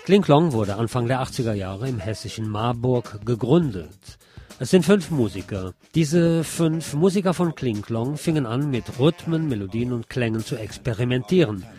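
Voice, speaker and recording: A man's voice: mid-range voice, expressive, moderate pace, dark tone, soft background music. A man narrating a scripted first-person report as a reporter.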